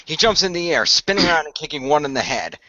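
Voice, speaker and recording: Bright-voiced man narrating action scenes. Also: on the high side, lively intonation, fast talker, no room sound, muffled recording. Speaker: radio play creator and performer. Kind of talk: improvised narration of an action radio play.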